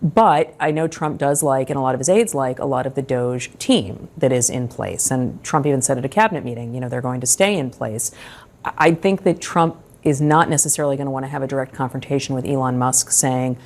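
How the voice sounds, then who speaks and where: A woman with an American accent and a strikingly deep voice. She is a political journalist giving analysis in a television interview. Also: fairly quick, a little mellow.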